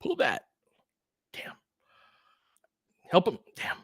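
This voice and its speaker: A man's voice: very slow, fairly bright tone, dry recording, in a whisper, clean studio sound. A man hosting a live basketball stream, giving casual, analytical commentary and chatting with viewers in between short reactions to the play.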